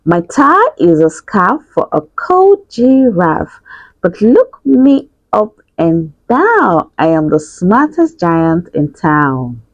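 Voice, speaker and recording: A woman's voice: lowish voice, sing-song, slow pace, no room sound, highs cut off, voice pushed down, heavily acted. A woman voicing a kind, cheerful male giant from a storybook, with an encouraging, sing-song manner. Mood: proud singing.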